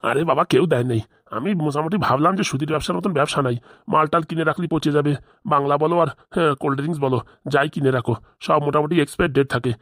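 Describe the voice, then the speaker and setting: Man acting a stubborn, rapid-talking old father-in-law. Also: a little high, a bit bright, no room sound, studio quality, natural voice. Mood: boastful. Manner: insistent, wheedling. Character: stubborn elderly village father-in-law, scheming and boastful. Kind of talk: comedy cartoon dialogue.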